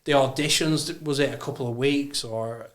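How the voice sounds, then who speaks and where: A man's voice: mid-range voice, normal speed, fairly bright tone. A man hosting a podcast, chatting casually and upbeat, laughing often. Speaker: podcast host. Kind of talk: casual interview chat.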